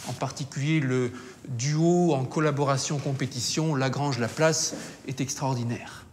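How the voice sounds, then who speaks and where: A scientist explaining in a documentary, teaching step by step with some hesitations. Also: male voice, mid-range voice, steady tone, normal speed, a little hiss or hum.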